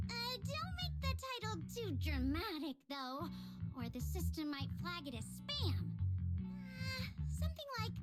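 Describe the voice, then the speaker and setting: A woman playing a cheeky, self-assured young corporate rep in a video game, glib and playful. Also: very high-pitched.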